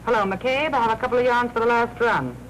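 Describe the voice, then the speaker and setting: An actress playing a brisk, sardonic veteran newspaperwoman in a 1940s film noir. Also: on the high side, fairly bright tone, lightly acted. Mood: businesslike. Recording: noisy 1940s film soundtrack.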